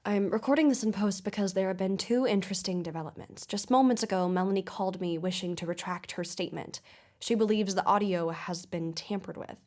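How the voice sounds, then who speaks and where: A woman's voice: mid-range voice, a little fast, a little mellow, close to an everyday voice. A woman playing a probing investigative mystery show host in an audio drama, speaking in a polished, formal, inquisitive manner. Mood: serious reporting. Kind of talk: audio-drama broadcast interview and narration.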